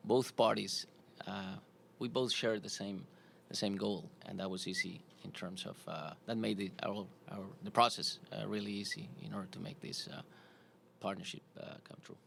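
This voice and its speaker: A man from Spain with a Spanish accent, a company executive speaking haltingly at a press conference, with many fillers and restarts. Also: on the low side, creaky, a bit bright, a little hiss or hum.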